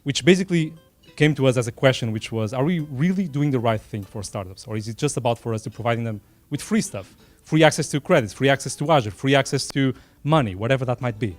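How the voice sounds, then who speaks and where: A man's voice: mid-range voice, fast talker, faint music behind. A middle-aged man from Portugal with a Portuguese accent, giving an unscripted, earnest speech at a dinner in a small room, with self-deprecating asides. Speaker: event sponsor speaker. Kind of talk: informal speech at a dinner event.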